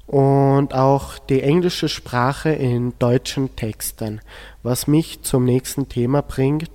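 A man's voice: mid-range voice, a little mellow. A male teenage student with an Austrian accent, moderating a radio discussion. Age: teens. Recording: long hall-like reverb.

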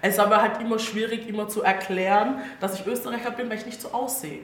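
A woman's voice: medium pitch, fairly even intonation, fast. A woman with an Austrian accent gives informal opinions as a panelist, colloquially dropping English words into her German. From Styria, Austria. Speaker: panelist, social media activist. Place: indoor public event venue. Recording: roomy echo, clear background noise.

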